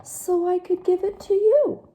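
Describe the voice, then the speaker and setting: A sweet little storybook duckling, acted out by a woman, with a very high, lilting voice. It speaks slowly and politely, innocent and gentle in every phrase. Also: slight background noise, rough recording. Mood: kindly. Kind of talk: children's picture book read-aloud.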